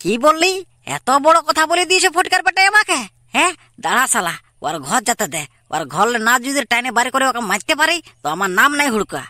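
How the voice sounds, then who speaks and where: A woman's voice: high-pitched, very animated, fast, bright, forceful tone, no room sound, clean studio sound, heavily acted. A woman voicing an indignant young village son in a cartoon comedy, arguing insistently in a Purulia Bengali accent. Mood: angry, aggrieved. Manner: argumentative, repeatedly demanding agreement. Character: hot-headed, indignant young village son wanting marriage. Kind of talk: amateur cartoon comedy dialogue.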